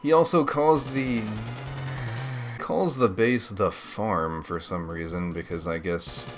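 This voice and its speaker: A man's voice: on the low side, fairly even intonation, slow. A man giving casual, rambling game commentary as a let's play host, laid-back and slangy.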